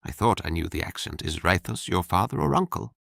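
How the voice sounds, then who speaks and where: Brief: man playing a wry, courtly ex-king, low gravelly voice, storybook. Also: expressive, a little fast, fairly bright tone, no echo, studio quality, exaggerated voice. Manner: courtly, wry, old-fashioned speech. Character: wandering former king, hungry young-ish man, courteous and wry.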